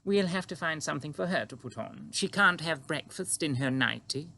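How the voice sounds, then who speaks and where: Storybook queen, poised and prim, lifted high and lively, slow and measured delivery, with a man putting her on. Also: creaky, full character voice. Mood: regal. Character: dignified, composed queen, a grown woman.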